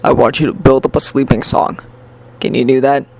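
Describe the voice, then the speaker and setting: A narrator voicing a gruff, commanding adult male hero teacher who gives terse instructions. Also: medium pitch, level tone, fairly quick, a little mellow, voice pushed down.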